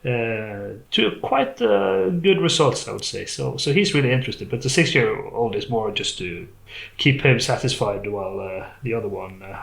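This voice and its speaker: Creaky-voiced Norwegian man in an echoey room. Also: mid-range voice, expressive, normal speed, a bit bright. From Norway. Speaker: podcast guest. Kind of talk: casual chat. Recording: roomy, echoey sound.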